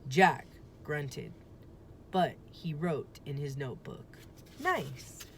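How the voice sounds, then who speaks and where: Low-voiced, slow-reading woman storyteller. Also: very animated. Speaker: teacher reading aloud. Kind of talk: children's storybook read-aloud narration. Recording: faint background noise.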